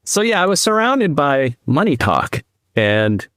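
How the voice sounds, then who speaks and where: A man in his fifties from the US East Coast, a podcast guest and financial advisor, telling his story in a measured, reflective way. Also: fairly high pitch, big pitch swings, a bit bright, clean tone, no room sound. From New Jersey, US East Coast. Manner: measured, reflective, speaks in long explanatory runs. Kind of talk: conversational interview storytelling.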